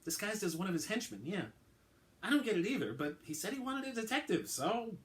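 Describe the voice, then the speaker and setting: A man's voice: high voice, very animated, brisk, voice pushed up. A man voicing a reluctant uniformed police officer who explains things hesitantly and apologetically. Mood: apologetic.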